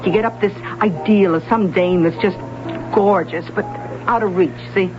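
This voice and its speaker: A woman's voice: mid-range voice, moderate pace, natural voice. An actress playing a shrewd, motherly older woman who teases a young detective in a 1970s radio drama. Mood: teasing. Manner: teasing, wise, streetwise. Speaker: confidante to a detective. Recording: dull lo-fi old radio broadcast with music.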